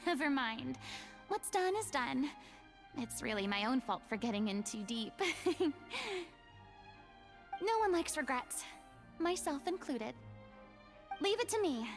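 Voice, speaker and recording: A woman voicing a weary young former stage star, speaking softly in a gravelly half-whisper. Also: high-pitched, very animated, slow, pauses often, soft background music, exaggerated voice. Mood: resigned. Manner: gentle, resigned and wistful.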